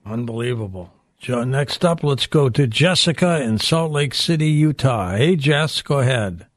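A man with a medium-pitched voice hosts a call-in radio show. He asks questions conversationally, in a dry studio sound with no room echo. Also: lively intonation, normal speed. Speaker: radio host. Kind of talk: conversational interviewing.

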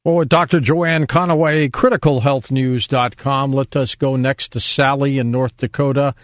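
A man hosting a call-in radio show, briskly introducing guests and callers in a friendly way. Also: mid-range voice, fairly even intonation, no room sound, studio quality. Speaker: radio host.

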